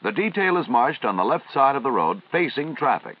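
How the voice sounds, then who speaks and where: An American man reading formal, measured, instructional narration in the style of a 1950s military training film. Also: mid-range voice, big pitch swings, breathy edge. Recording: dull, band-limited vintage film soundtrack.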